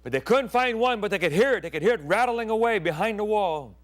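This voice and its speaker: A middle-aged man who grew up in Thailand, a pastor hosting his own program, telling stories in a sermon and often dropping to hushed tones and whispers. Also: high voice, expressive, quick pace. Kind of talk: storytelling sermon.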